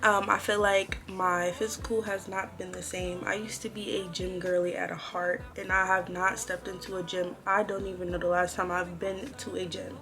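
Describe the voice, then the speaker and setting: A woman in her twenties speaks in a slightly low voice. She chats informally to her viewers in a casual vlog style, addressing them like close girlfriends. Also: little pitch movement, normal speed, few pauses, over music. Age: 20s. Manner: chatty, informal, girl-talk address to viewers. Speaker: vlogger. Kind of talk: casual chit-chat to camera.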